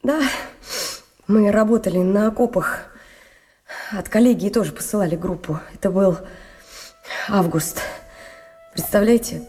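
Actress playing a wartime civilian woman, long reverb, halting, distraught, pleading, radio drama, music behind. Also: mid-range voice, warm tone, natural voice. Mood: reminiscing, uneasy. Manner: halting, emotional, repeats words. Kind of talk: Russian radio play acting.

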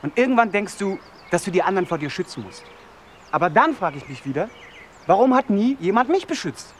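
An actor playing a vulnerable, brooding young outcast in a naturalistic radio drama, speaking in an earnest, halting, searching way. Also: male voice, high voice, big pitch swings, a little hiss or hum. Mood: anguished.

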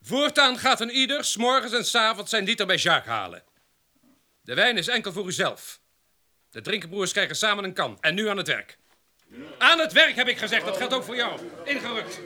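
A commanding baron in a radio play. His voice is high and very bright, swinging widely in pitch. He hands out orders in an imperious, clipped manner. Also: very animated, brisk, exaggerated voice. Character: commanding male baron, estate boss of labourers. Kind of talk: Dutch radio drama acting.

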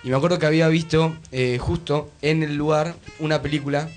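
A man's voice: a little high, fairly even intonation, brisk, faint music behind. An eighteen-year-old man with an Argentine accent, a guest chatting casually and hesitantly on radio. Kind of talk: casual chat.

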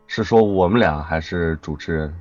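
A man with a husky voice chatting casually as a guest on a live stream. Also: deep voice, moderate pace, a little mellow, no room sound, muffled recording. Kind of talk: casual banter. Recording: dry stream audio with music behind. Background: music.

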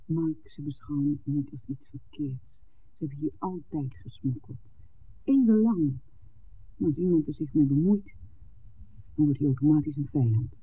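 An actress playing an anxious, pleading young village daughter in love, in a 1960s Dutch radio drama. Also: female voice, low-pitched, moderate pace, pauses often, lightly acted. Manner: emotional, imploring. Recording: narrow-band 1969 radio recording, faint hiss.

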